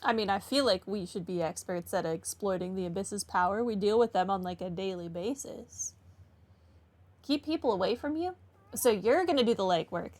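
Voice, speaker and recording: A woman's voice: mid-range voice, normal speed, a little mellow. A woman giving casual, offhand commentary as she plays through a video game. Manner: wry, offhand. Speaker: gamer doing a playthrough. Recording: game music behind the voice.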